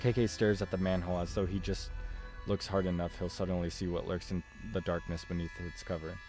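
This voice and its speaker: A man's voice: sits low, flat delivery, brisk, darkish tone. A man reading a visual novel aloud as narration, as a game streamer does. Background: background music.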